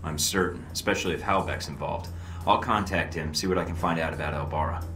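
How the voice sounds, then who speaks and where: An actor playing a cocky young American undercover agent in a video game, with a dry, flippant manner. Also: male voice, fast. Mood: businesslike. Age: young adult. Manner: dry, flippant, low-key. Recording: roomy sound under clear music.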